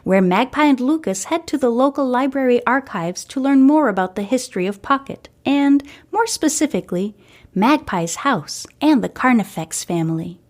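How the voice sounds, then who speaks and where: A woman's voice: sits high, animated, normal speed, a little mellow. A woman hosting a story podcast, reading scripted fiction narration.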